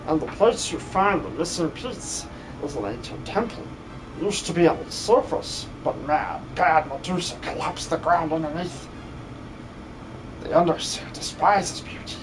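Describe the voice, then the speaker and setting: A man voicing a greedy, fawning, treasure-obsessed creature in a game dub, muttering and hissing with a breathy voice. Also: a little high. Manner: hissing, muttering, repeats yes and precious. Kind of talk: amateur video game dub.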